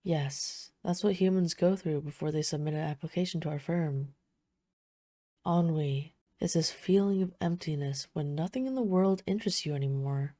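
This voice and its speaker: A woman playing a poised, flirtatious vampire, speaking softly and teasingly in an intimate audio roleplay. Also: deep voice, normal speed, no room sound, clean studio sound, close to an everyday voice. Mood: wistful, hushed. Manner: soft-spoken, teasing, smooth formal phrasing. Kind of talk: intimate scripted audio roleplay addressed to the listener.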